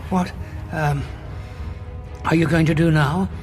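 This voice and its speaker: An actor playing a wry, questioning Time Lord on the run, understated and wary, in an audio drama. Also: male voice, fairly high pitch, steady tone, slow, loud music behind the voice.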